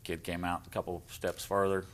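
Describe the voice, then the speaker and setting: A man's voice: low voice, level tone, moderate pace. An American man talking as a coach in a casual sports interview, plainspoken with a dry humour. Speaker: basketball coach.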